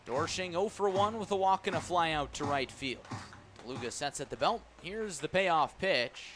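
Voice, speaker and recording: A man's voice: fairly high pitch, animated, moderate pace. A man doing live play-by-play radio commentary of a baseball game. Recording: live ballpark broadcast with background noise.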